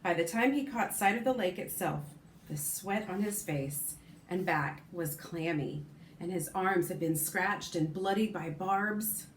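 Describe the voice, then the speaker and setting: Low-voiced woman teacher reading aloud. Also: a little slow, roomy sound, slight background noise. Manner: expressive, stops to comment and correct herself. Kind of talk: reading a novel aloud to a class.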